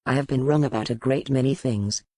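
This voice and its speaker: An actor playing a stern, brooding medieval duke in an audiobook, with a commanding, formal manner. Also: male voice, mid-range voice, brisk, darkish tone, no echo, lightly acted. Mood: grim, reflective. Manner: commanding, formal period speech. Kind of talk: dramatic audiobook character acting.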